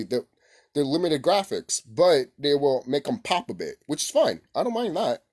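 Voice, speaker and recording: A man hosting a casual, unscripted gaming vlog, rambling excitably, repeating himself and swearing casually. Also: mid-range voice, breathy edge. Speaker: gaming video host.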